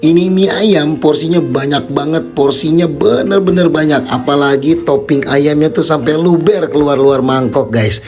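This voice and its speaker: Hoarse excited man, mellow voice. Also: fairly high pitch, moderate pace, hardly any pauses, soft background music. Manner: excited, keeps calling viewers "guys". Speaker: food vlogger. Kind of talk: casual street-food vlog. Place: outdoor food stall by a sports field.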